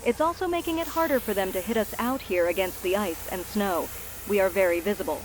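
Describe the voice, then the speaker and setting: A woman's voice: medium pitch, fairly quick, slight background noise, natural voice, poor audio. A woman playing a businesslike military captain briefing a reporter in a sci-fi radio drama. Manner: businesslike, tactical. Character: businesslike female military captain in snowy battle.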